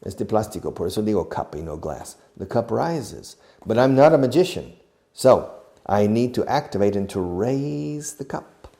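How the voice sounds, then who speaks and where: A man teaching a beginner English lesson, explaining slowly and acting out each phrase, with short glosses in another language. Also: lowish voice, lively intonation, vocal fry, mellow. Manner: slow, deliberate, demonstrative. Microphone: video recording.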